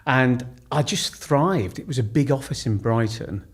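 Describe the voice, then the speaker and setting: A man in his fifties from Lancashire, a podcast guest, telling his story in a measured, reflective way. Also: medium pitch, expressive, husky. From Lancashire, England. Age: 50s. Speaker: podcast guest, recruitment director. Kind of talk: casual conversational interview.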